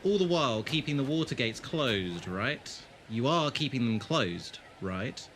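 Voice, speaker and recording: A man as a wry, expressive adventurer. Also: mid-range voice, measured pace, slight background noise. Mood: stern, uneasy. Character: wry, commanding male adventurer, blunt and coolly logical. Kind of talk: audio drama acting.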